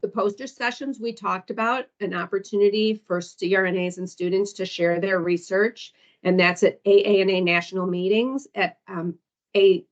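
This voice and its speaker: A woman on a conference call walking through slides for an orientation, hesitant and full of ums as she reads down lists. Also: mid-range voice. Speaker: foundation staff presenter. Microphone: conference call audio. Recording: dull lo-fi call recording.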